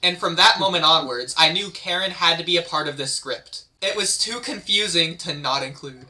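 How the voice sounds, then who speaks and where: A man's voice: fairly high pitch, moderate pace, pushed and bright. A man giving casual commentary on a script table read as one of its writers.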